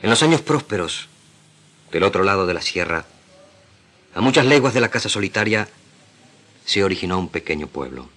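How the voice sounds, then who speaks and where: A man with an Argentine accent giving a solemn, deliberate, declamatory literary reading as a radio actor. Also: lowish voice, pushed and bright.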